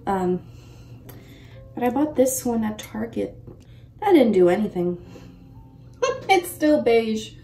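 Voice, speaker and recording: A woman's voice: a little high, very animated, takes it slowly, pauses often, warm tone. A woman in her mid twenties chatting casually and slangily, with frequent exclamations, as she does a makeup tutorial at home. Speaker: makeup vlogger. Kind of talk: casual chat while doing a makeup tutorial. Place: bedroom-like room at home. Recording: roomy echo, distant mic, faint music.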